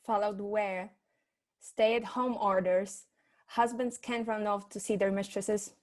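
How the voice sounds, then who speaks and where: A woman's voice: medium pitch, fairly even intonation, takes it slowly, close to an everyday voice. A woman playing a sassy, no-nonsense private eye's assistant in a humorous noir radio play, dry and unimpressed. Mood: deadpan. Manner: dry, unimpressed, matter-of-fact.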